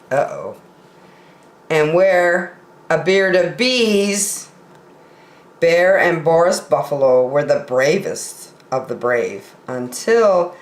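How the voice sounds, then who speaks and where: A woman reads a children's picture book very slowly in a lowish, highly animated voice. She leaves dramatic pauses between phrases, and the room is echoey. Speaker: children's story time reader. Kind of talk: expressive picture-book reading.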